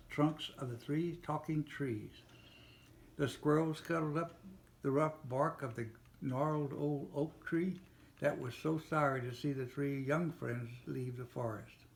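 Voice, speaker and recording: Older man reading a storybook, roomy sound. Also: medium pitch. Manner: halting reading with small slips and self-corrections. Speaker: parent reading a storybook aloud. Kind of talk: storybook read-aloud. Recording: roomy, echoey home recording with faint noise.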